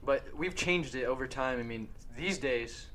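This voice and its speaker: A man co-hosting a casual podcast who talks loudly and likes to explain his own theories. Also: medium pitch, moderate pace, a little hiss or hum. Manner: loud, opinionated, lectures with theories. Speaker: co-host.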